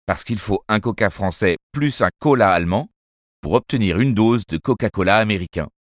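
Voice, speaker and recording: A man reading a children's novel aloud as first-person narrator, in a measured, expressive storytelling manner. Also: lowish voice, no echo, clean studio sound. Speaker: audiobook narrator.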